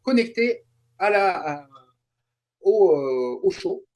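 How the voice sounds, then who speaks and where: Male guest, notably high voice, casual explanatory chat with emphatic repetition. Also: sing-song, slow pace, a little mellow. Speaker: interview guest, translator and YouTuber.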